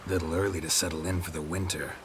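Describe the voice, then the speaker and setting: An actor playing a gruff, terse monster hunter with a gravelly growl and dry, deadpan delivery in a video game. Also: male voice, deep voice, fast talker, noisy background, lightly acted. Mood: wry.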